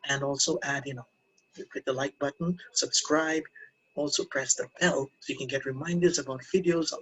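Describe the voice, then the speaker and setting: A man's voice: on the high side, fairly bright tone, slight background noise, low-quality recording. A man teaching an English lesson to camera in a casual, encouraging way, repeating phrases and asking learners questions.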